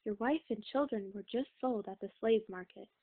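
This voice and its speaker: A woman's voice: medium pitch, normal speed, natural voice. A woman reading the part of a worried male friend in a storybook, on a tinny recording.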